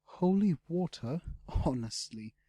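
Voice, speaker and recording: A man voicing a wry, teasing female blue dragon in a fantasy audiobook, with an expressive manner. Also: on the high side, slow, slightly rough, voice pushed up, full character voice. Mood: amused scorn.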